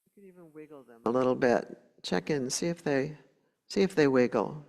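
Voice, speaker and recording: A woman meditation teacher leading a guided meditation in a gentle, unhurried way, leaving long pauses between short phrases. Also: rumbling low, vocal fry. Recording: long hall reverb.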